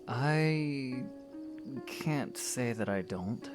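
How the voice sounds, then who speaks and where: A man's voice: mid-range voice, expressive, drawn-out words. A man playing a reserved, politely exasperated young hero in a fan audio drama, speaking in a dry, understated, guarded way. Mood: reluctant. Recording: music clearly under the voice.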